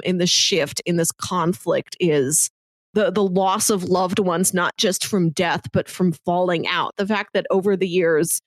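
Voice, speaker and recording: A woman's voice: mid-range voice, no echo. A woman co-hosting a casual discussion, talking reflectively and articulately in long, built-up phrases. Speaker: podcast co-host. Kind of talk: casual conversational film discussion.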